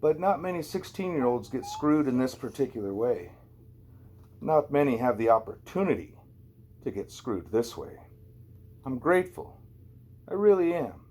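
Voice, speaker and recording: A man's voice: medium pitch, very animated, moderate pace, pauses often, darkish tone. A man narrating a first-person novel as an amateur audiobook reader, in a conversational, wry storytelling way.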